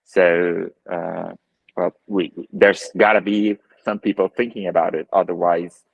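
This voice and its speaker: A deep, low-pitched man with a Brazilian Portuguese accent speaks over earbuds on a video call. He is in his thirties and answers thoughtfully, with plenty of fillers and restarts. The sound is dry and close, with no room echo. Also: fairly even intonation, measured pace, gravelly, breathy edge, narrow, tinny audio. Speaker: photographer and guest. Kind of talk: casual video call interview. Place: living room at home.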